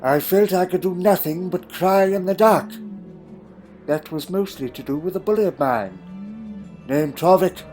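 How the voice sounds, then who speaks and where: Male actor as a troubled, proud Time Lord for audio drama; slightly high voice, old-fashioned wording, melancholy and guarded, over faint music. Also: unhurried, a little mellow. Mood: brooding, melancholy.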